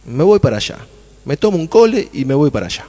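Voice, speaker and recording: A man playing an enthusiastic, dreamy farmer in a radio play, speaking colloquially with an Argentine accent and expressive delivery. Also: a little high, quick pace. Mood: excited, scheming. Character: Germán, enthusiastic dreamy male farmer from a farming family. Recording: long hall reverb.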